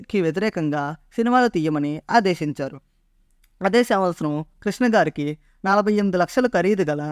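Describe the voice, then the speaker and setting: A man's voice: sits high, smooth, dry recording, clean studio sound. A man presenting a scripted explainer as a video narrator.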